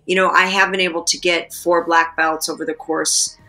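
Middle-aged female guest, bright and clear-toned, thoughtful and explanatory, video call audio. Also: on the low side, level tone, normal speed, rough recording. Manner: thoughtful, explanatory, with filler words. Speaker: guest, actress and martial artist. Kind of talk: interview answers. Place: indoors, plain room.

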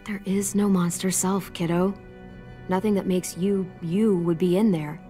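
A woman playing a weary, protective teenage girl survivor in a video game, speaking in a gentle, steady, parental way. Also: lowish voice, unhurried, faint music behind, lightly acted. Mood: firm, patient. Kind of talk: naturalistic video game acting.

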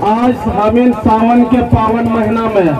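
A man's voice: extremely high pitch, level tone, a little slow, very noisy. A young man with a Jharkhand regional Hindi accent gives a fiery political rally speech into a handheld microphone outdoors under a tent. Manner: fiery, chant-leading, rhetorical. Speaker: rally speaker. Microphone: handheld microphone over loudspeakers, filmed by phone. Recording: distant, muffled PA sound with loud crowd.

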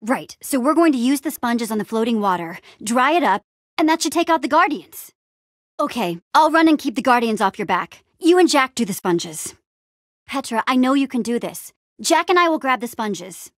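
A woman playing a plucky, bossy but encouraging young adventurer heroine in a video game, with an expressive, casual, peppy delivery. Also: fairly high pitch, normal speed, dry recording, clean studio sound. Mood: focused, determined. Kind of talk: animated adventure game dialogue.

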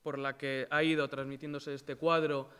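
A man answering in an interview, hesitant and halting with many pauses. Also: mid-range voice, level tone, a bit bright, smooth. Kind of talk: interview soundbite. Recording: resonant voice with long reverb.

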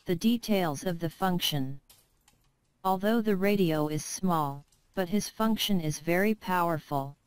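Woman doing product demo voiceover, lowish clear voice, no room sound, careful non-native phrasing. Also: a little slow, dry recording. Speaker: product presenter. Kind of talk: scripted product narration.

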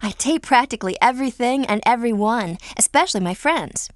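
A woman's voice: a little high, animated, fairly quick, no room sound, studio quality, close to an everyday voice. A woman playing a friendly, earnest teenage American girl in a scripted video game. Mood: upbeat, friendly. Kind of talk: scripted game character lines.

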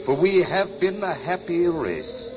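A man's voice: high voice, very animated, measured pace. An actor playing the reverent last man of a dying race, declaiming ceremonially in an old radio drama. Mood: solemn farewell. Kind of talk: 1950s radio drama acting. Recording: old muffled radio recording, loud music.

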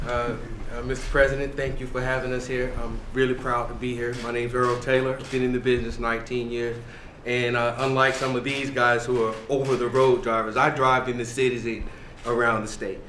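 A truck driver introducing himself, stumbling over words, earnest. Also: male voice, medium pitch, flat delivery, a little fast, few pauses, a bit bright, slight background noise. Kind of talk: nervous self-introduction. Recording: echoey room.

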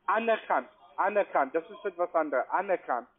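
A non-native man with a foreign accent doing persuasive sales talk over the phone, insistent, repetitive and halting in his phrasing. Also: sits high, expressive, husky. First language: not German. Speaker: call center agent selling trading courses. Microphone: telephone. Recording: tinny, band-limited phone line.

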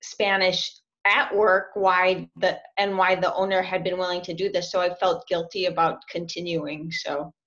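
A woman's voice: lowish voice, flat delivery, normal speed, a bit bright. Chatty woman on a lo-fi video call. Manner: chatty, laughs often, hesitant restarts. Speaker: language student. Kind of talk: casual interview over video call. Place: small room at home. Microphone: laptop webcam on video call. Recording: dull lo-fi video call audio.

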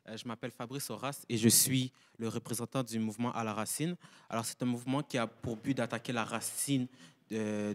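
A young man representing a movement, speaking formally and earnestly into a handheld mic. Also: mid-range voice.

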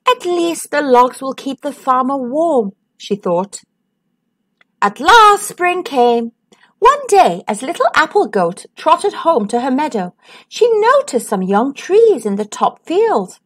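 A woman reading a picture book aloud to children in a sing-song voice, with dramatic pauses. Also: high voice, a bit bright. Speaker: children's storyteller.

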